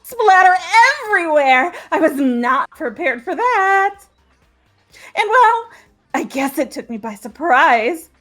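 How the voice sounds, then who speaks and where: A woman's voice: sits high, sing-song, takes it slowly, a bit bright, faint music behind, full character voice. Woman voicing a gleeful young serial killer.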